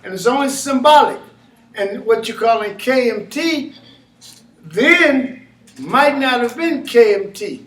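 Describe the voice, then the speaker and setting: A man giving an informal lecture to a small live audience, animated and often questioning the listeners. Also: very high-pitched, slow pace. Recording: echoey room, mic far off.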